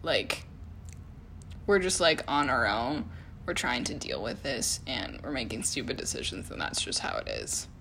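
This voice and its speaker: A woman co-hosting a casual chat, reflecting out loud and filling pauses with "like" and "um.". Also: rumbling low, huge pitch swings, vocal fry, a little hiss or hum. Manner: reflective, heavy use of "like" and "um". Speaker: podcast co-host.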